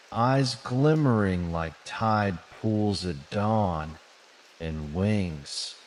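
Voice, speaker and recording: A man reads a bedtime tale at an extremely slow pace, gently and soothingly. His fairly low voice rises and falls with measured storybook drama, carried in a long reverb.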